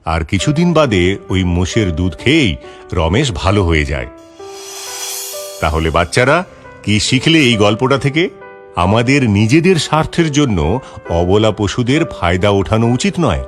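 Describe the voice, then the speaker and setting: A man's voice: lowish voice, sing-song, measured pace. A man narrating a scripted moral story for children. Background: background music.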